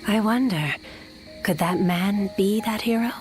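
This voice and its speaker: A woman playing a solemn, protective guardian in a video game, speaking in a measured, grave way. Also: mid-range voice, a little mellow, soft background music, close to an everyday voice. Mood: solemn, caring. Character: solemn, protective woman sworn to eliminate threats to peace. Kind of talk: video game dub acting.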